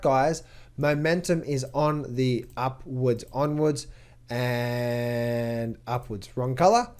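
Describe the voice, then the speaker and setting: A man working as a trading coach gives an emphatic market update over charts, firing rhetorical questions, answering them himself and repeating words in quick bursts. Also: mid-range voice, darkish tone.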